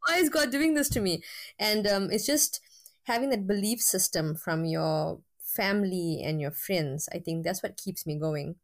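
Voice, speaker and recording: A woman's voice: medium pitch, normal speed. A woman lecturer, a guest on a podcast, speaking candidly with frequent fillers. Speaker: university lecturer with a PhD. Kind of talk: interview guest.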